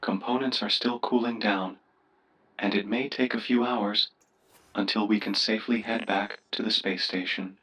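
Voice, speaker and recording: A man voicing a polite, cautious starship AI in a sci-fi game, speaking in a formal, deferential, measured way. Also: fairly low pitch, steady tone, bright, forceful tone, slightly rough, recorded from a distance, exaggerated voice, poor audio. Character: polite, cautious male ship computer AI, formal and curious. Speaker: ship's computer voice assistant. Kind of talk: scripted sci-fi game voice pack.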